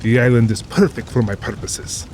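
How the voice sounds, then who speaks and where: Over rain and ambience comes a man acting a middle-aged aristocratic general in a radio-style drama. He is urbane and cold-blooded, with formal diction and a pompous, amused air. His voice sits at a medium male pitch. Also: normal speed, a little mellow, slight background noise, lightly acted. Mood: suave, boastful.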